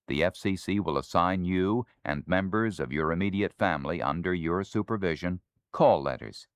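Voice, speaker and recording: Deep-voiced American instructional narrator, male. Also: no room sound. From United States. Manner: formal, measured, authoritative. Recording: dry 1970s spoken-word LP.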